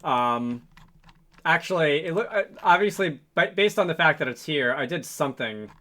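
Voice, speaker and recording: Bright-voiced man thinking aloud while coding. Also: on the high side, lively intonation, moderate pace. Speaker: programming video host, game developer. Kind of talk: casual unscripted coding tutorial, thinking aloud. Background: computer keyboard typing and mouse clicks.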